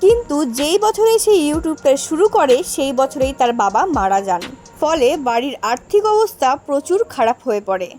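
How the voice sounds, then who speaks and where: A woman's voice: sits high, big pitch swings, moderate pace, warm tone. A woman hosting and narrating a scripted online video, speaking directly to her viewers. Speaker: channel host and narrator. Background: background music.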